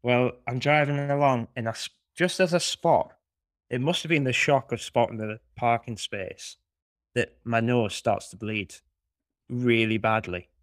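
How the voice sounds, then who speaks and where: A man's voice: mid-range voice, a little slow, no echo, clean studio sound. A man co-hosting a podcast, telling a long rambling story in a casual chat. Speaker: podcast co-host.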